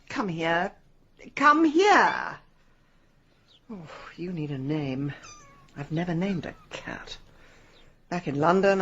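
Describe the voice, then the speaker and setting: An actress playing a sharp-tongued, determined middle-aged London woman in a radio drama, brisk and sardonic. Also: fairly low pitch, muffled recording, a little hiss or hum. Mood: coaxing. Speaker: actor in lead role.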